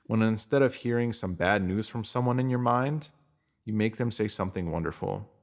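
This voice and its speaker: A man's voice: lowish voice, moderate pace. A man reading a scripted self-help piece, addressing the listener directly. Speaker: reader of written posts.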